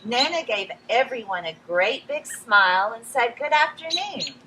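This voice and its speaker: A woman with a fairly high, bright voice tells a children's story in a very animated way, speaking straight to her young listeners as friends. She is outdoors, with noticeable noise around her. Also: a little slow, low-quality recording. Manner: addresses children directly as friends, expressive. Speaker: read-aloud host reading a children's picture book. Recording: some background noise, outdoor ambience.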